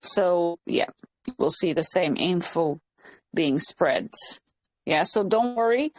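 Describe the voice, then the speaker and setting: Slow, hesitant woman hosting a call. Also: lowish voice, animated, dry recording, rough recording. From Portugal. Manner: hesitant, many fillers and restarts. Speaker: channel host leading a group call. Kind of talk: casual group video call. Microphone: video call.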